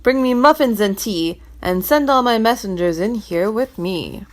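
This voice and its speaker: A kindly old king from a rhyming storybook who speaks slowly and with weight, as a woman reader voices him. He sounds a little lower than her own voice. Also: lively intonation, natural voice. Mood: cheerful.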